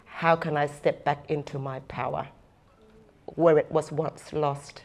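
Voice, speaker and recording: A woman giving a slow, earnest, deliberate talk at a conference session, stressing her points by repeating them. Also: sits low, little pitch movement, vocal fry, background noise. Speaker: First Nations speaker and former government advisor.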